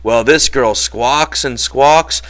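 A man voicing a protective, exasperated ranch hand in a storybook reading, telling things forcefully in folksy, ungrammatical speech. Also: mid-range voice, slow pace, voice pushed up. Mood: agitated, insistent.

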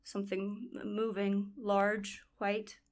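A woman in a radio play acting a blind woman who is regaining her sight, halting and hushed, in short awed fragments. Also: mid-range voice, level tone, close to an everyday voice. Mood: tentative, concentrating. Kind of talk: dramatic monologue in a radio play.

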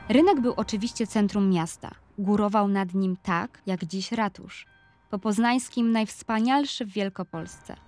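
A woman with a medium-pitched voice narrates an audio play. She reads scripted, literary prose as a storyteller recalling a place. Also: unhurried, darkish tone, a little hiss or hum.

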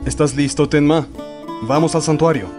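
Man voicing a noble young warrior over loud music. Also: fairly high pitch, fairly even intonation, normal speed, close to an everyday voice. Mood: gentle. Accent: Latin American. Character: noble young male warrior mentor, calm and earnest. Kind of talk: anime fan dub acting in Spanish.

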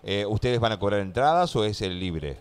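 A man's voice: on the low side, sing-song, normal speed, clean tone, soft background music. A middle-aged Argentine man hosting a live radio interview in a conversational, affirming way, close to a studio microphone. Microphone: close studio microphone, headset monitoring.

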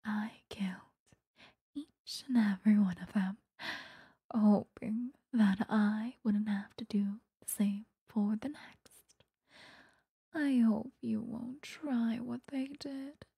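A woman voicing an obsessive, sweetly menacing vampire lover in a breathy, whispered ASMR roleplay, close to the microphone. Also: medium pitch, fairly even intonation, warm tone, no echo, full character voice. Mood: menacing, jealous. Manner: slow, intimate, coaxing, threatening. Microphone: close microphone, dry.

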